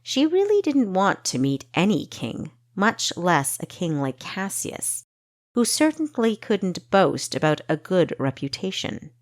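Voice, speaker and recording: Female audiobook narrator for fantasy: slow, measured, expressive, on the deeper side. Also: dry recording, studio quality.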